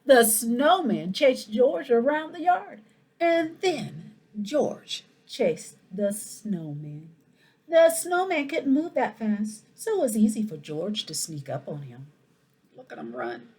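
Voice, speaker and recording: A woman reading a children's story aloud in an expressive, animated way, with asides to her young listeners. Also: fairly high pitch, slow, husky. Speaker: school principal reading a picture book aloud.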